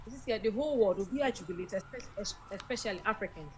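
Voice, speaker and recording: Ghanaian woman calling in, halting speech. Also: mid-range voice. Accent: Ghanaian English. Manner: halting, mixes in Twi phrases, many restarts. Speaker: caller. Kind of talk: phone-in chat.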